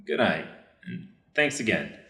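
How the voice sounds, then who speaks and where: Man acting an animated, grateful American soldier. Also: sits low, unhurried, full character voice. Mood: earnest, grateful. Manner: informal, earnest. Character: grateful, chatty young American prisoner of war, plain-spoken. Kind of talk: staged reader's theater drama. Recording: roomy, echoing space.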